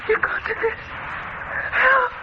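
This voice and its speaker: A man's voice: takes it slowly, close to an everyday voice. An actor playing a terrified, helpless male patient pleading with his captor in a radio horror drama. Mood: panicked screaming. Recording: muffled, very noisy old radio recording.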